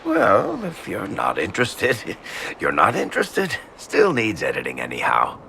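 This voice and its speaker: A man's voice: fairly low pitch, wildly swooping pitch, measured pace, gravelly, pushed and bright, a little hiss or hum, full character voice. A man playing a wry, loyal rogue storyteller in a video game, sardonic and casual with a theatrical streak. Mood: teasing. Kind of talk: video game character acting.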